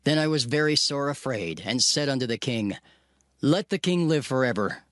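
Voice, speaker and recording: A man's voice: mid-range voice, animated, moderate pace, a bit bright, no room sound, clean studio sound. A man narrating scripture in the first person, reading in an earnest, prayerful manner as part of a dramatized recording. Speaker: narrator voicing Nehemiah. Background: music underscore.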